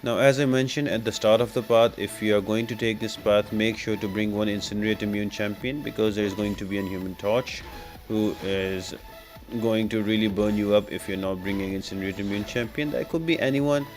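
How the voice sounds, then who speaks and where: A man's voice: on the low side, fairly even intonation, brisk. A man giving casual, explanatory walkthrough commentary as the host of a gaming guide. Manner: explanatory, instructional. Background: music.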